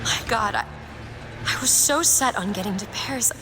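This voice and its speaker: A woman's voice: mid-range voice, moderate pace, background noise, close to an everyday voice. A woman voicing a guilt-ridden young woman. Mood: troubled. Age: young adult. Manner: troubled, searching, self-questioning. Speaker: game companion character. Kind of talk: video game voice acting. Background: game music.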